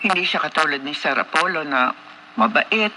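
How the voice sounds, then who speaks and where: A woman's voice: sits low, lively intonation, normal speed. A woman with a Filipino accent acting a concerned, humble older mother in a Tagalog radio drama, in a very noisy broadcast. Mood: worried.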